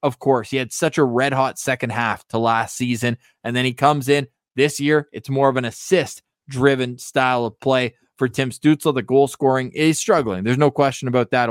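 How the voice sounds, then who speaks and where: A man's voice: medium pitch, no room sound, clean studio sound. A man hosting a sports podcast, talking through hockey stats conversationally and leaning on the numbers for emphasis. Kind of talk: lively hockey talk with stats.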